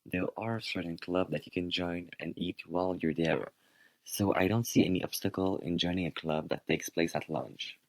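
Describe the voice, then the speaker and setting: A young male student with a low voice argues his side in a scripted radio debate. His wording is formal and measured, and the sound is close and dry. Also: creaky, dry recording, low-quality recording. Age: young adult.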